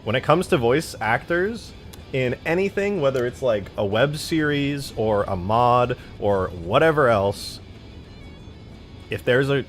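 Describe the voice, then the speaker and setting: A man livestreaming in a casual, slangy way, reading out viewer comments and talking with self-deprecating bluntness and some swearing. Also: mid-range voice. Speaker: live streamer.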